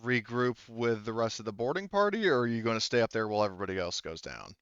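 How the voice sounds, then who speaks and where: A man running a tabletop roleplaying game as game master, talking casually and haltingly as he thinks aloud. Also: mid-range voice, animated, a bit bright, clear, resonant tone, no echo, muffled recording.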